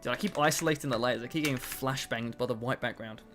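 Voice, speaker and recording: A man's voice: mid-range voice, fast, fairly bright tone. Man in his twenties, casual stream chatter, self-mocking and loose, faint music and keyboard clicks behind. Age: 20s. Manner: chatty, self-mocking, answers chat between tasks. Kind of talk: casual livestream chat.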